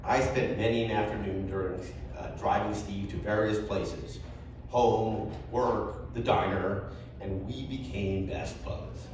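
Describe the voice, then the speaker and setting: A man reading a story aloud at a live event in an animated, conversational comic way. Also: on the low side, measured pace. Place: live event venue, roomy indoor space. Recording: echoey room, distant mic, background noise.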